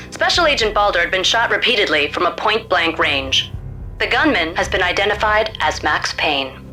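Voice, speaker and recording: A female TV news anchor in a crime game. She reads in a formal, urgent broadcast style at a medium pitch, with clear music behind her. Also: bright, forceful tone.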